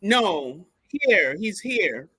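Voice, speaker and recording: A woman calling in with a question, speaking hesitantly and haltingly. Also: medium pitch, big pitch swings, fairly bright tone, slightly rough, dry recording. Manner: hesitant, halting, many fillers. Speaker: caller. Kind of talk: call-in question.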